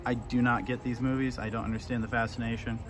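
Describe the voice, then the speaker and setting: A man's voice: medium pitch, little pitch movement, quick pace, over music. A man, vlogging casually while walking through a mall and making blunt, sarcastic remarks. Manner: sarcastic, blunt, laid-back quips. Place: indoor shopping mall. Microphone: handheld camera at arm's length. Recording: noisy mall ambience.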